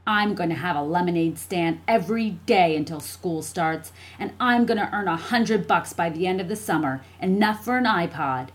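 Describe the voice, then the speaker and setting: A woman's voice: on the low side, moderate pace, hardly any pauses, lightly acted. Woman voicing a blunt, scornful schoolboy. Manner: blunt, taunting. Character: resentful, hot-tempered schoolboy, scornful older brother. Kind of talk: audiobook character dialogue.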